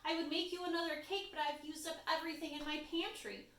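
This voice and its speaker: A woman's voice: sits high, level tone, quick pace, fairly bright tone, slight background noise, pitched above the performer's own voice. A woman voicing a kind, earnest, polite little male mouse in a read-aloud storybook. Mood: apologetic. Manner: sweet, earnest, exclaiming. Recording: roomy echo, distant microphone.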